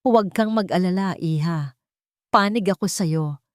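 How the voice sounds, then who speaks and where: A woman voicing an elderly male house helper in an audio story, soft-spoken, deferential and gentle in manner. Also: high-pitched, unhurried, clear, resonant tone, dry recording, clean studio sound. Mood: reassuring.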